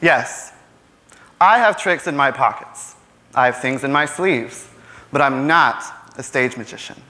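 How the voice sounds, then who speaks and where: A man's voice: mid-range voice, expressive, measured pace, bright, forceful tone. A man performing a stage monologue as a frustrated, defiant young working-class man, with impassioned, sarcastic delivery. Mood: poetic, measured.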